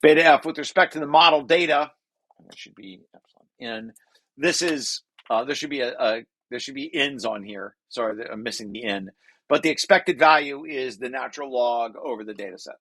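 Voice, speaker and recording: A man presenting a technical paper, reading passages aloud and breaking off into informal, halting explanations with repeated restarts. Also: mid-range voice, fairly bright tone, no room sound.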